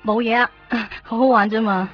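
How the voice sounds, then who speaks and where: A woman's voice: a little high, fairly even intonation, moderate pace, muffled recording, lightly acted. A woman acting a shy young customer in a radio drama, speaking hesitant, polite Hong Kong Cantonese in brief answers. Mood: amused. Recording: band-limited 1990s radio recording with faint music.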